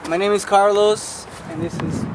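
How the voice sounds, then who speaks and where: A friendly young man with a slightly high voice chats in an easygoing way. He is recorded outdoors with clear traffic noise behind him. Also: fairly even intonation, normal speed. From Venezuela. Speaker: landscape architecture student.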